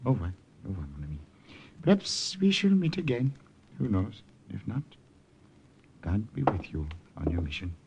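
An actor playing a shrewd priest of the resistance in a 1940s radio drama, speaking softly. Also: male voice, fairly low pitch, big pitch swings, moderate pace, husky, highs cut off, exaggerated voice. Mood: sly, gentle. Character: shrewd French village priest in the underground.

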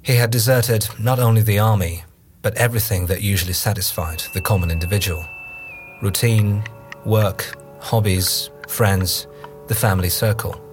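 An actor playing a solemn witness in a literary audio play. His voice is very deep, and he sets out each phrase gravely and deliberately over clearly audible music. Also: lightly acted. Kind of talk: audio drama narration, literary.

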